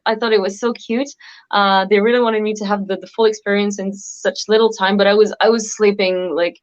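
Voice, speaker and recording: A woman's voice: mid-range voice, level tone, fairly quick, muffled recording. A woman whose first languages are French and Moroccan Arabic, chatting as a guest singer in a casual live video interview, laughing often and using many fillers. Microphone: video call.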